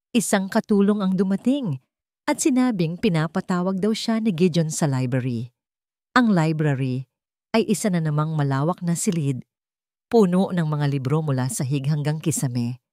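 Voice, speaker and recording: A woman narrates a scripted romance drama. Her voice sits a little low for a woman, and the recording is dry and close. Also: moderate pace, mellow, no room sound. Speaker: story narrator.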